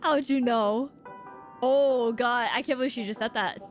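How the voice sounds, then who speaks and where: A young woman game streamer giving casual, excitable, expressive live reaction commentary. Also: a little high, measured pace. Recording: game music behind voice. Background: game music.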